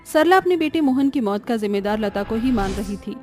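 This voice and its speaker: A woman's voice: a little high, expressive, a little fast, darkish tone, faint music behind. A woman narrating a scripted family story as its storyteller.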